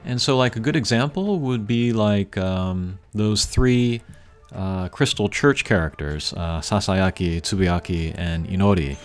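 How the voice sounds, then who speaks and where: A man giving casual, unscripted gameplay commentary as a channel host, thinking aloud in a measured way. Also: fairly low pitch. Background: game music.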